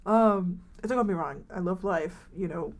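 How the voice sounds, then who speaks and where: A woman's voice: lowish voice, unhurried. A woman in her twenties co-hosting a podcast, chatting casually and rambling through stories with lots of "like" and "um". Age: 20s. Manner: rambling, many likes and ums, animated retellings. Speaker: podcast co-host. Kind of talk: casual chat.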